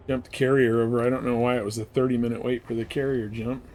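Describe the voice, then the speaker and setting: A man's voice: medium pitch, little pitch movement, quick pace, darkish tone. A man streaming a game solo, chatting casually and muttering as he thinks aloud. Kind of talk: casual solo gameplay chat. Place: indoors, live game stream. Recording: faint background noise.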